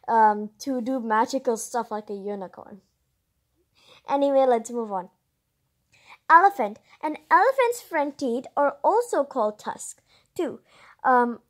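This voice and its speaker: A female host reading a children's picture book aloud, expressively, with chatty asides and drawn-out emphasis. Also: sits high.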